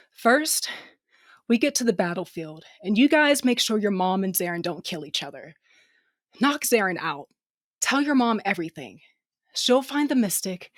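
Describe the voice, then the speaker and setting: A woman's voice: mid-range voice, dry recording, clean studio sound. A woman playing a tough but affectionate, wounded ship captain in a first-person audio drama. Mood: determined, gruff.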